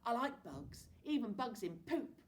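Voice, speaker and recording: A woman voicing an excitable young boy, the narrator's little brother. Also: a little high, unhurried, recorded from a distance, pitched above the performer's own voice. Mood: eager.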